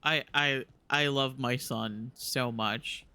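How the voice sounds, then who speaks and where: A man's voice: medium pitch, steady tone, slow pace, pushed and bright, clean tone, dry recording. A man giving casual live gameplay commentary as a streamer, affectionate and gushing, close on the microphone. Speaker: video game streamer.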